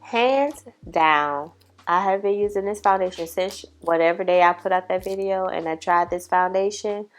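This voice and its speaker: A congested woman, chatting casually to camera about makeup and giving her opinions. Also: on the low side. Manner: chatty, opinionated, drawn-out emphasis. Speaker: beauty vlogger. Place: home makeup setup with ring light. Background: soft background music.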